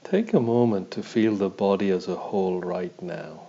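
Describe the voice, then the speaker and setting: A man guiding a body scan meditation as a teacher, in a calm, measured, instructional manner, on a dull, muffled recording. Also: low voice, creaky.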